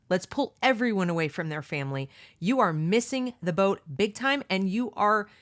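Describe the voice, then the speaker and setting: A middle-aged woman leads a read-along discussion of a book, speaking with emphasis and firm opinions. She keeps asking rhetorical questions and pressing her points home. Her voice sits at a middle pitch. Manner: mocks others with high exaggerated voices.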